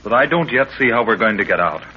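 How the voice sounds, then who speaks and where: An actor playing an earnest, anxious young expedition assistant, deferential and restless, in an old-time radio adventure. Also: male voice, fairly low pitch, lively intonation, quick pace, husky. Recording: muffled, noisy old radio recording.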